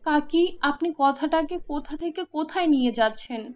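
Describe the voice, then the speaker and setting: A woman's voice: high-pitched, normal speed, close to an everyday voice. A woman voicing a kind, patient young seamstress, polite and earnest, in a children's cartoon. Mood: apologetic.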